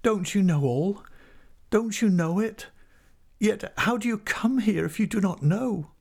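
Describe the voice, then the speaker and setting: A man's voice: sits high, creaky, a little mellow, voice pushed up, full character voice. A man voicing a distraught young woman, bitter and pleading, in an impassioned audiobook reading of a classic novel. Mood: agitated. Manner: impassioned, repetitive, halting pleas.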